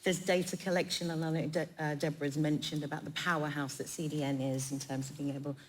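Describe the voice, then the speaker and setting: Low-pitched woman speaking on a panel. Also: level tone, fast. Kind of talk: prepared but conversational panel talk. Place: live event in a theatre. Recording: clear background noise, live event.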